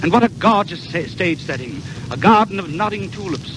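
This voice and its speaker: A man's voice: high-pitched, animated, a little fast, background noise. A man announcing a 1930s radio show in a theatrical, declamatory showman style, on an old broadcast recording.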